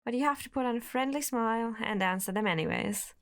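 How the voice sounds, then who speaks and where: A woman's voice: on the high side, fast, close to an everyday voice. An actress playing a volatile, obsessive young woman in a radio drama, with a dramatic, defensive, sardonic way of talking. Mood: polite, weary.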